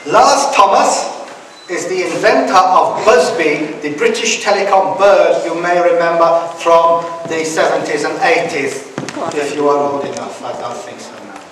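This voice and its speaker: A man's voice: a little high, unhurried, a bit bright. A man acting as master of ceremonies, introducing the next speaker in a playful, teasing way. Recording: echoey hall, distant mic, noisy.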